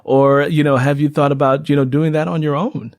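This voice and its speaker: A man's voice: on the high side, flat delivery, fairly quick, no echo, studio quality. A man hosting a radio-style interview show in a conversational way.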